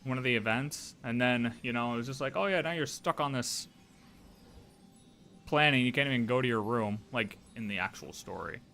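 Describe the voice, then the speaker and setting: A man's voice: medium pitch, fairly quick, creaky, bright, forceful tone, a little hiss or hum. A man giving casual, laid-back, chatty commentary as a game streamer. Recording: game music behind the voice.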